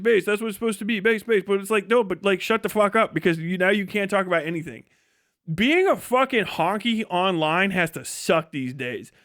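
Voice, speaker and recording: A man ranting casually on a livestream as its host, profane and sarcastic, acting out imagined dialogue in an animated way. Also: high voice.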